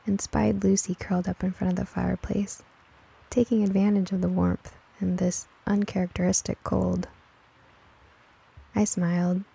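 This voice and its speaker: A woman's voice: medium pitch, creaky, dark tone, husky, poor audio. A woman narrating a scripted first-person story in the style of a nostalgic radio drama. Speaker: narrator, writer and producer of the show.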